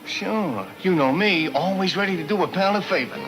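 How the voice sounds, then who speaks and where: A man's voice: sits high, animated, moderate pace, rough recording. A man playing a pleading, eager-to-please gangster with a wheedling, slangy manner, in 1940s crime serial style. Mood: anxious pleading. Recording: old 1940s film soundtrack with music.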